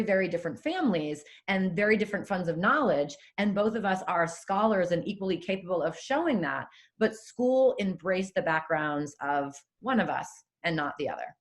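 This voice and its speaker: A woman in her forties from southeastern New England giving a conversational webinar talk, with fillers like um and you know. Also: lowish voice. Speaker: webinar presenter. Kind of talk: personal storytelling presentation.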